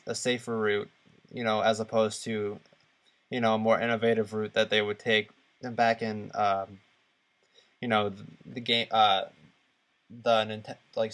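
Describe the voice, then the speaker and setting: A man co-hosting a podcast, speaking casually and haltingly as he searches for his words. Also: on the low side, a bit bright. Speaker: podcast co-host. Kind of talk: casual discussion of video games.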